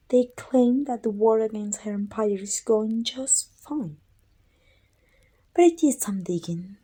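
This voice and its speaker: A woman playing a proud, weary evil queen and sorceress in an ASMR roleplay, with a husky, breathy voice and an imperious, deliberate delivery. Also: medium pitch, darkish tone. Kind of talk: scripted ASMR roleplay acting.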